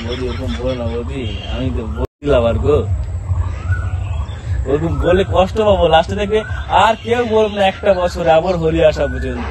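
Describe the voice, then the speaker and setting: A man's voice: a little high, a little fast, background noise. A man making a prank phone call, teasing and suggestive, chuckling as he plays on double meanings. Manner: playful, suggestive, chuckling through his lines. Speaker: prank caller.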